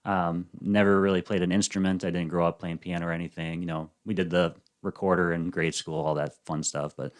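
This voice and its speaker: A man in his thirties, a podcast guest chatting casually and softly, with frequent ums and uhs. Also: low voice, little pitch movement, brisk, hardly any pauses. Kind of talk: casual interview chat.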